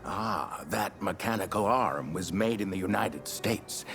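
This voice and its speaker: Deep, pompous Western diplomat. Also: male voice, unhurried, music in the background. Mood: measured, formal. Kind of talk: dramatic video game cutscene acting.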